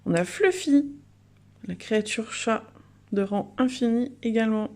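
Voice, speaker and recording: A woman's voice: a little high, unhurried, a little mellow. A woman giving chatty, enthusiastic casual commentary as the host of a card unboxing video.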